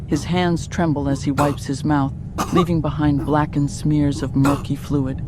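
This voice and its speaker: A narrator reading a scripted audio drama in a measured, descriptive storytelling manner. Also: mid-range voice, flat delivery, noisy background.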